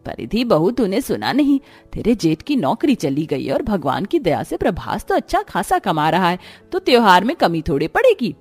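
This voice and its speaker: A woman's voice: medium pitch, very animated, normal speed, a little mellow, breathy edge. A domineering older mother-in-law acted by a woman from a cartoon household drama. She is greedy and plays favourites, speaking over faint music. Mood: matter-of-fact. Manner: coaxing to favourite, scolding to others. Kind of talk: cartoon family drama voice acting.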